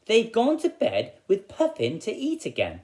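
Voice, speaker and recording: A man voicing an anxious, tender-hearted poor father in a comic fairy tale, with plaintive, drawn-out exclamations. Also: high-pitched, wildly swooping pitch, a little mellow, pitched above the performer's own voice, full character voice. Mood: worried protest.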